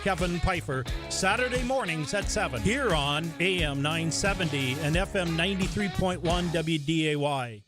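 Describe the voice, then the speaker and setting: A man's voice: on the high side, normal speed. A man reading a scripted promo for a radio show in a promotional tone. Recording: promo with music bed.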